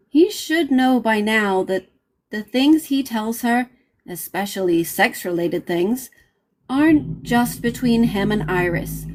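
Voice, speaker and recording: Woman, audiobook narrator, fairly high voice, unhurried pace, expressive fiction reading. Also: poor audio. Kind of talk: expressive live reading of a novel's first page.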